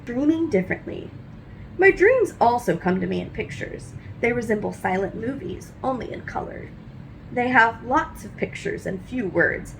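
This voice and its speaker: Lively high-voiced woman reading aloud. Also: moderate pace, vocal fry, slight background noise, rough recording. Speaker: read-aloud host. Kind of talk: expressive read-aloud of a nonfiction book for children.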